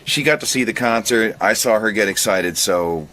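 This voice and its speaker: Deep-voiced man talking emphatically. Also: moderate pace, bright, forceful tone. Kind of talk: reflective unscripted talk.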